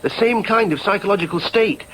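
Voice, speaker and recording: A man's voice: high-pitched, fairly quick, fairly bright tone, slight background noise, poor audio. A man playing an insecure, argumentative young adult son in a radio drama, explaining emphatically and questioning himself anxiously. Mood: excited, emphatic. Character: argumentative, insecure grown son, theorising then doubting himself. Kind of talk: British radio drama acting with inner monologue.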